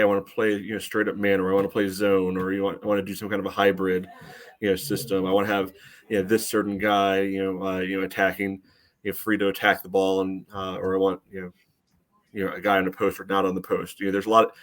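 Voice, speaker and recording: An American man co-hosting a soccer podcast, analyzing play casually and thinking aloud with a lot of "you know". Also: low-pitched.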